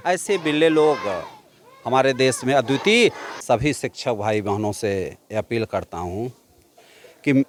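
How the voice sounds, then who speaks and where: A man's voice: medium pitch, lively intonation, measured pace, a little hiss or hum. A male teacher, giving an earnest, exhorting talk outdoors at a village school. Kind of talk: speech and leading children's slogans. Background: children in a crowd.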